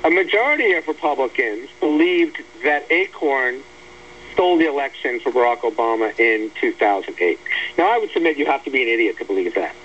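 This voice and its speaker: A man's voice: mid-range voice, expressive, a little fast, fairly bright tone. An American man giving blunt, emphatic, argumentative political commentary as a guest on a talk radio show. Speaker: guest commentator discussing an article they wrote. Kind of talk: opinionated political radio discussion. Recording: dull lo-fi radio audio, faint hum.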